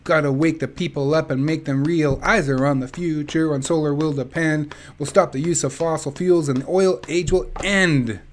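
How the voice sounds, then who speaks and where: A man's voice: fairly high pitch, fairly even intonation, moderate pace, few pauses. A man reading a book aloud in an informal, chatty way with casual asides. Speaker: reader of an activist book.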